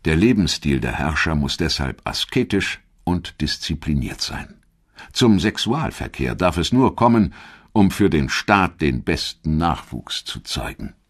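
A man reading scripted history documentary narration in a measured, clearly articulated storytelling manner. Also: a bass voice.

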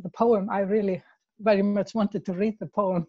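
A woman from Iceland with an Icelandic accent, speaking in a casual discussion over a video call, hesitant, repeating words and laughing softly. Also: medium pitch, steady tone, normal speed, husky, dry recording, dull audio. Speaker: class participant.

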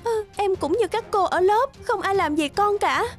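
A woman voicing a timid, anxious young kindergarten teacher in an animated drama, deferential and pleading. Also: very high-pitched, moderate pace, soft background music.

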